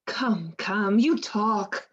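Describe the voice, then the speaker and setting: A woman's voice: medium pitch, extremely slow, muffled recording. A woman playing a worldly, outspoken lady's maid in a Shakespearean radio play, with a wry, frank manner. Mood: attentive, brisk.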